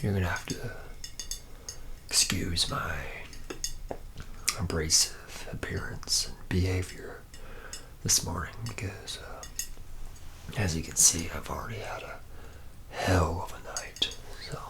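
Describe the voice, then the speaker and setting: Man playing a deep, gravelly, boozy robot mechanic. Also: steady tone, slow, pauses often, in a whisper. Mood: boozy, laid-back. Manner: loose, rambling, boozy, laid-back. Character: charismatic, drunken, hard-living womanizing senior robot mechanic. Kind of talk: ASMR roleplay.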